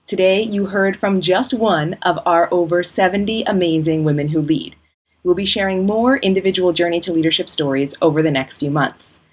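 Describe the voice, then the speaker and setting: Husky woman reading a program outro. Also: on the low side, moderate pace, a little mellow. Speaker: host and company founder.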